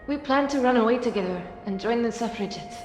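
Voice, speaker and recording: A woman's voice: on the high side, fairly quick, a little mellow, faint music behind, close to an everyday voice. Woman playing a wistful girl from France, storytelling. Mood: reflective. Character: wistful French girl telling of her lost friend. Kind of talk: dramatic storytelling in an animated game cutscene.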